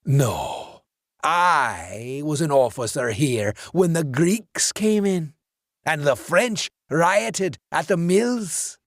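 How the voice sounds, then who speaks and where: A man's voice: a little high, fairly bright tone, dry recording, studio quality, voice pushed up, full character voice. A man doing a gloating dead policeman. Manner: taunting, relishing violence. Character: cruel ghost of an old-time male policeman.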